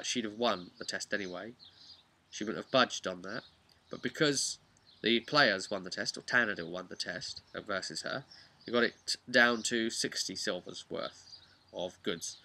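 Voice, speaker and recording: A man, a game master, casually recapping a tabletop session in an animated, rambling, emphatic way. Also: medium pitch. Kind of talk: casual unscripted storytelling vlog.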